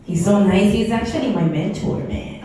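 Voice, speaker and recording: A woman's voice: mid-range voice, normal speed, noisy background. A woman from Texas with a South Texas Chicana accent, doing a brash, giggly stand-up set full of swearing. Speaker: stand-up comedian. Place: comedy club stage. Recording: echoey club from far off, loud crowd.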